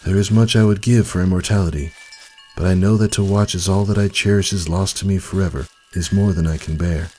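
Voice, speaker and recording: A man playing an old male pony in a storybook tale, humble and devoted, speaking in an earnest, measured, dignified way. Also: low-pitched, fairly even intonation, dark tone, soft background music, voice pushed up, close to an everyday voice. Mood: sorrowful, resolute.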